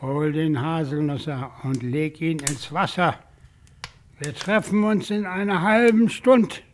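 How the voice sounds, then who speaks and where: Radio drama: brutal Austrian farmer, menacing and commanding, slow delivery, heavy background noise. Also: male voice, on the high side. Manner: commanding, menacing dialect speech. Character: harsh, brutal, pious farmer and foster father. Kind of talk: radio play acting.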